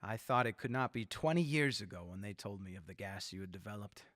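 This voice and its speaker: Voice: sits low, animated, brisk, a bit bright, no room sound, clean studio sound. An actor in a radio drama playing a ruthless, power-hungry war leader, volatile, imperious and theatrical. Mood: skeptical.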